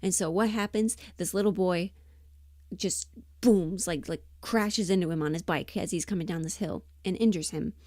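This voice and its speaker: A woman chatting casually and emphatically on a livestream, rambling in an animated, opinionated way. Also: lowish voice, normal speed, no echo, clean studio sound. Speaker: livestream host and voice performer.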